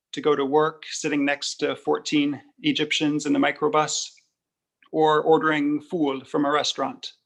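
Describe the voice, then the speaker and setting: A man's voice: on the high side, a bit bright. An American man telling personal stories conversationally, candid and given to jokey asides. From United States. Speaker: embassy spokesman and former Arabic teacher, guest.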